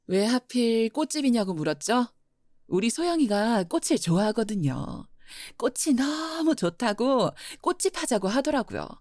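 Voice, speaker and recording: A woman playing a gentle, teasing mother. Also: a little high, sing-song, normal speed, no room sound, studio quality. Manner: gentle, teasing, laughs often. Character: warm mother who runs a flower shop. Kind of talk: audio drama acting.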